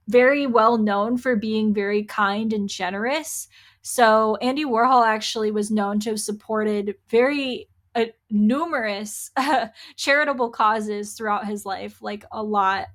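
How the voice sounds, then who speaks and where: A young adult woman hosting a solo podcast, chatty and upbeat, her voice a little hoarse from a dry throat. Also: fairly high pitch. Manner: chatty, upbeat, with fillers and laughs. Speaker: podcast host. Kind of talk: casual solo podcast talk.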